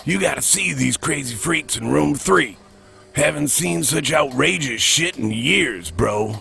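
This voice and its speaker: An actor playing a laid-back slangy fruit orderly with a gravelly drawl in an animated short. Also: male voice, on the high side, measured pace, full character voice. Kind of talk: animated short film dialogue.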